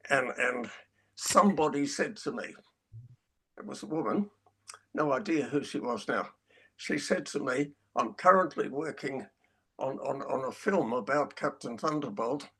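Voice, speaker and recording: An older man with a husky voice reminiscing in a halting way, repeating words as he recollects. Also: medium pitch, bright, forceful tone, low-quality recording. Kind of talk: reminiscing in a webinar discussion.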